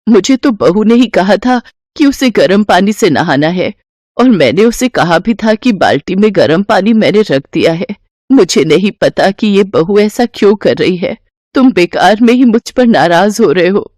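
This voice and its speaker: A woman voicing a gentle elderly grandmother in a story, meek and plaintive in manner. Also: mid-range voice, animated, normal speed, no echo, studio quality, close to an everyday voice. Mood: pleading. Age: older.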